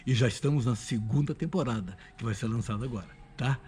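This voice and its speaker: A Brazilian man talks in a relaxed, promotional interview style. His voice sits at a medium pitch and his manner is enthusiastic. Light music plays behind him. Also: quick pace. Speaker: radio drama voice actor. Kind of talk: promotional interview, casual talk.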